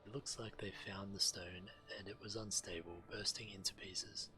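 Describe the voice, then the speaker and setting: Low-voiced, fussy fantasy scholar. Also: male voice, steady tone, moderate pace, bright, forceful tone, slight background noise, poor audio. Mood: fretful complaint. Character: fussy, wary male scholar and artifact restorer. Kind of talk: scripted fantasy game dialogue.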